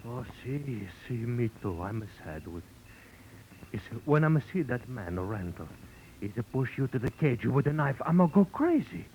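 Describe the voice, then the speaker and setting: An actor playing an excitable, devoted immigrant animal show owner who pleads emotionally in broken English with a thick foreign accent, in 1940s radio drama style. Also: male voice, mid-range voice, moderate pace. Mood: distressed confession. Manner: emotional, pleading, repeats himself. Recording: muffled, noisy old 1940s radio recording.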